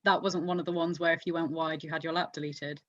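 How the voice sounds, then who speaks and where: A woman's voice: sits low, flat delivery, quick pace, a bit bright. A young woman hosting a podcast in casual chat, on a dull, roomy lo-fi recording. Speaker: motor racing podcast host.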